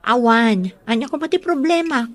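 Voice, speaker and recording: A woman's voice: a little high, animated, normal speed. An actress with an Ilocano accent playing a coy, easily irritated young working woman in a radio drama. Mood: mildly annoyed.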